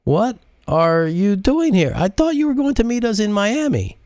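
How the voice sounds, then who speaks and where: A man voicing a sharp-tongued, fiery woman, pitching his voice well up with a tart, scolding edge. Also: sing-song, normal speed, no room sound, studio quality. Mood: startled.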